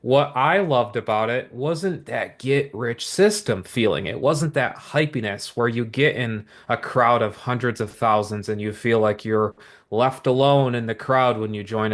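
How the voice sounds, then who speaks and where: Energetic man giving a motivational sales pitch. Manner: energetic, repetitive motivational patter with many asides. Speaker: business opportunity presenter. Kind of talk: upbeat sales pitch to viewers.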